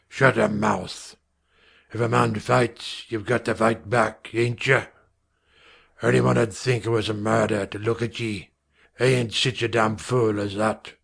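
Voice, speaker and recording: A man voicing a gruff London father, talking in a hoarse grunt just above a whisper. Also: fairly low pitch, steady tone, slow, a bit bright, heavily acted. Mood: defiant. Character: gruff thieving London father, curt and coarse.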